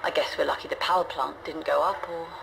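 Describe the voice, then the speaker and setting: Grave, low-voiced woman starship leader. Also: fairly even intonation, gravelly, background noise. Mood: grim, matter-of-fact. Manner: measured, grave, reflective delivery. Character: weary, resolute woman leader of a stranded generation ship. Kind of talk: scripted audio log narration, dramatic game acting.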